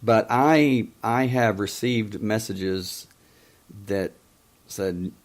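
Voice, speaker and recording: A man in his fifties who stutters and stammers, hosting a call-in show in a casual monologue, often pausing to search for words. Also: fairly low pitch. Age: 50s. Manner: many pauses and hesitations.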